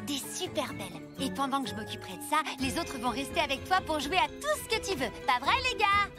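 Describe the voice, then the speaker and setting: A very high, swooping voice as a scheming kid gang leader in a cartoon. The manner is falsely sweet and taunting, with a French accent. Loud music plays behind. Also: female voice, lively intonation, fairly quick. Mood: wheedling. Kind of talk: animated cartoon acting.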